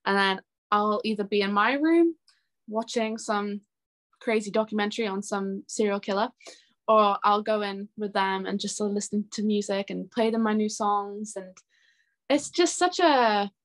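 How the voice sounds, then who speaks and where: A woman's voice: mid-range voice, moderate pace. A young woman chatting casually and informally as an interview guest. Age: young adult. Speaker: interview guest, singer-songwriter. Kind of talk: casual chat.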